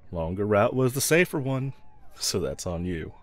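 A man's voice: on the low side, sing-song, moderate pace. A man playing a snarky, pragmatic yet protective mercenary escort in a fantasy audio roleplay, talking to the listener in a wry, teasing, laid-back way.

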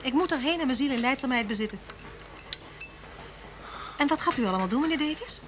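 Friendly, inquisitive schoolteacher: fairly high, sociable voice, noisy vintage radio drama. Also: female voice, animated, a little fast. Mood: chatty, curious. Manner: sociable, forthcoming, questioning. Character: chatty, friendly, inquisitive unmarried female schoolteacher. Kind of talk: vintage Dutch radio play acting. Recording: noisy old radio play recording.

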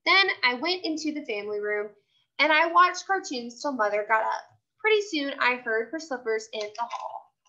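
A woman with a bright, high voice reads a children's chapter book aloud like a teacher. She is expressive and instructional, keeping her listeners along. The recording is a little dull and lo-fi. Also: moderate pace. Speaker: teacher reading aloud to a class.

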